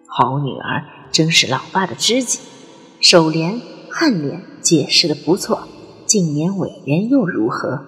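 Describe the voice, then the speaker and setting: A woman's voice: deep voice, sing-song, measured pace, a bit bright, big-room sound, soft background music, voice pushed down, exaggerated voice. A woman voicing a doting middle-aged father with a fondness for learned talk, in an audio drama. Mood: pleased, quizzing. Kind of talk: Chinese audio drama acting.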